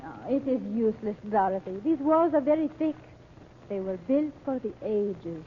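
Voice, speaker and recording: An actress playing a wise, soothing Egyptian woman in an old radio serial, speaking formally and deliberately. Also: on the high side, expressive, lightly acted. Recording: tinny, noisy old radio recording.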